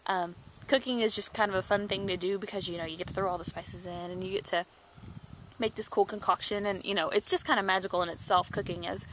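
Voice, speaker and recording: A woman's voice: medium pitch, fairly even intonation, quick pace, fairly bright tone, slight background noise, rough recording. Stuffy-nosed young woman, rambling. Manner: rambling, many restarts and fillers. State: stuffed up from allergies. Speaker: YouTuber. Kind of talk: casual chat to camera.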